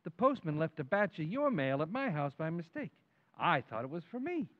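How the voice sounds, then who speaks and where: A man's voice: on the high side, very animated, moderate pace. A man playing a nosy, wisecracking working-class neighbour in an old-time radio comedy, brash and slangy. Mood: brash, needling. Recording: dull, narrow-band sound.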